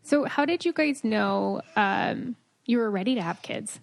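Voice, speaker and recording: A woman's voice: fairly high pitch, animated, measured pace, creaky. A woman hosting a casual podcast interview, chatty and asking follow-up questions. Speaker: podcast host.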